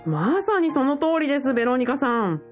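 Eager young male part-timer played by a woman, deferential, animated high voice, radio drama. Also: normal speed, faint music behind. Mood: earnest, explanatory. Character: polite young man, bookshop part-timer, eager self-styled fiancé.

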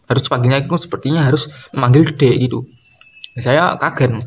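A man, giving a casual comedic rant in a halting, repetitive, exasperated way. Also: medium pitch, fairly even intonation, husky. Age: early 20s. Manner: exasperated, halting, repeats phrases, slips in English swearing. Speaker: video creator. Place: doorway at home by a wooden door. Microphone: camera or phone close in front.

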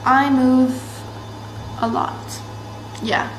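A teenage girl from Massachusetts chatting to her webcam in a bright room, rambling and bubbly with lots of fillers. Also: high voice, level tone, extremely slow. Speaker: vlogger. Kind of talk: casual chat to camera. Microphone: built-in camera mic, close webcam framing. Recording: roomy echo, faint background hiss.

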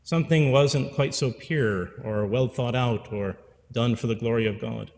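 A man's voice: medium pitch, a little slow, vocal fry, a little mellow, long reverb tail. A man in his forties, a priest giving an earnest pastoral sermon at a pulpit microphone, repeating phrases for emphasis. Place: church sanctuary. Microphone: stand mic at pulpit.

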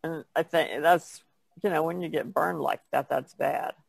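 A man's voice: fairly high pitch, steady tone, moderate pace, creaky, slightly rough, dry recording. A man talking casually as an artist guest on a podcast, telling stories in a plainspoken way. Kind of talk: casual conversational storytelling.

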